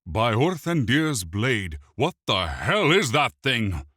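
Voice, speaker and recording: A man playing a tall, proud male elf prince who yells in stiff, formal speech, in a fantasy audiobook. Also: low voice, expressive, slow pace, no echo, studio quality, full character voice. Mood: furious. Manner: imperious, yelling, formal speech.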